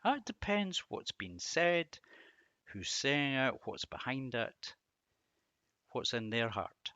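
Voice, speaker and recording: A man's voice: mid-range voice, very animated, slow, bright, forceful tone, dry recording. A middle-aged man giving a reflective devotional talk on scripture, asking rhetorical questions and repeating words for emphasis. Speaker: Bible teacher and host.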